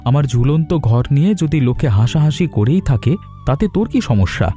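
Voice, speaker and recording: A man's voice: mid-range voice, expressive, moderate pace, mellow, close to an everyday voice. A man playing a poor, injured village father in a moral-story cartoon, earnest, firm and full of dignity. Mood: indignant. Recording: music behind the voice.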